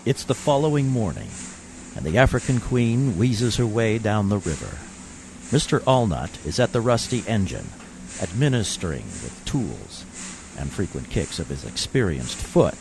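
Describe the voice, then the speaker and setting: A man announces and narrates an old-time radio play. His voice is fairly low and gravelly, over noticeable background noise. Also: sing-song, moderate pace.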